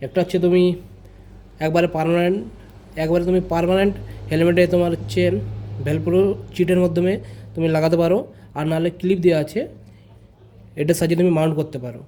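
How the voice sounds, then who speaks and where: Guy doing a casual how-to vlog, talks quick, soft-edged mellow tone, repeats himself. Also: fairly high pitch, flat delivery, slight background noise. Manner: informal, repeats phrases, addresses viewers directly. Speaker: vlogger presenting a tutorial. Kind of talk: casual step-by-step product tutorial.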